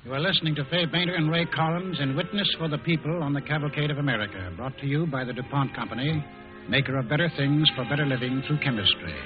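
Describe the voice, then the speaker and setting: A man's voice: fairly high pitch, fast talker, phone-quality sound. A male announcer delivering scripted narration in old-time radio style. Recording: thin old radio recording, loud music.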